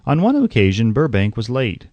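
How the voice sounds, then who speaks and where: A man reading an audiobook in a measured, deliberate storytelling manner. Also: mid-range voice, huge pitch swings, darkish tone, no echo, highs cut off. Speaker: audiobook narrator. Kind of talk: scripted audiobook narration.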